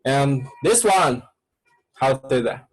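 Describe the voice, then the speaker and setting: A man's voice: mid-range voice, expressive, slow pace, poor audio. A man with a Chinese accent, a native Chinese speaker teaching an online class and coaxing students with repetitive prompts in broken English.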